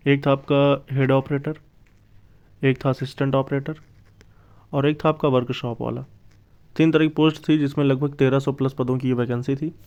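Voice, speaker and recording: A man gives an informal update for exam aspirants. His voice is smooth and resonant, set at an average male pitch. He explains step by step and repeats the points that matter, over a slightly dull, lo-fi recording. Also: flat delivery, normal speed, pauses often, darkish tone. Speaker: exam-news YouTube host.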